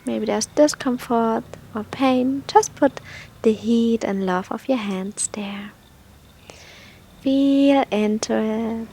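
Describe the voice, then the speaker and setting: A woman guiding a meditation in a soft, breathy, unhurried manner, with gentle instructions and long pauses. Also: a little high, a little hiss or hum. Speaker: meditation guide.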